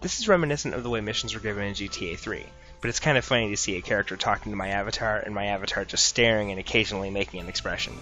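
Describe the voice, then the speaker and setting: Casting: male game essayist, low but crisp-edged and fast, scripted commentary over faint music. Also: pushed and bright, poor audio. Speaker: video essayist, game reviewer.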